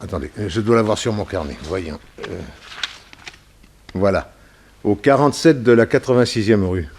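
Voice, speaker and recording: Casting: actor as fussy middle-aged industrialist, anxious and halting, lowish voice, vintage radio drama. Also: male voice, darkish tone, slight background noise, lightly acted. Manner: hesitant, stammering, fussy. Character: worried, hesitant wealthy businessman under death threat. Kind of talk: 1960s French radio serial acting.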